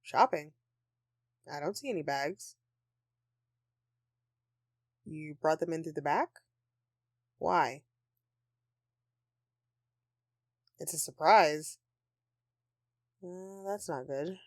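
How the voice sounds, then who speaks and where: Sulky, sardonic Christmas elf played by a woman, lowish voice. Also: slow pace, pauses often, no echo, studio quality. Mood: sheepish, tender. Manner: sarcastic, sighing, dramatic. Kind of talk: intimate audio roleplay, addressing a partner.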